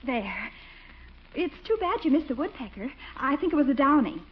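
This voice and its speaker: A woman's voice: high voice, sing-song, moderate pace. An actress playing a polite, hesitant woman in a 1940s radio comedy, speaking earnestly. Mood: bright, earnest. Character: polite, hesitant young woman, keen bird lover. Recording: muffled, noisy 1940s radio recording.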